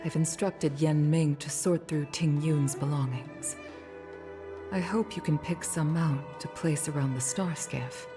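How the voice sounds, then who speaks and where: A mature female commander from a video game with a deep, low voice over soft music. She is dignified and solemn, formal and measured in every phrase. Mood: solemn, grieving.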